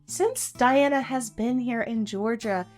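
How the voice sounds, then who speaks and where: A woman with a slightly raspy voice, hosting a casual podcast chat and telling stories playfully. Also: on the high side, a little slow, a little mellow, faint music behind. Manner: playful, chatty storyteller. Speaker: podcast co-host. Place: small carriage house room.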